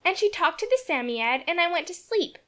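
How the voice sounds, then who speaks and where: Man doing a high, lively, chatty little girl for an audiobook. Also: brisk, exaggerated voice. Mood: eager. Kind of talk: audiobook character voice.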